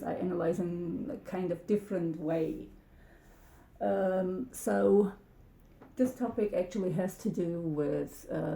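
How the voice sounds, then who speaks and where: A woman whose first language is Turkish speaks slowly and haltingly, often pausing on ums and starting over. Her voice is dark and gravelly. The room around her sounds echoey. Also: fairly low pitch. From Turkey. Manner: hesitant, many ums and restarts. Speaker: channel host. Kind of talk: casual talk to camera.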